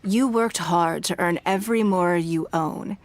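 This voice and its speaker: A woman playing a composed, shrewd, wealthy businesswoman in a video game, speaking deliberately as if giving careful advice. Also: on the low side, dry recording, lightly acted. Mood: measured, advisory. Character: poised, shrewd, wealthy businesswoman, calm and measured.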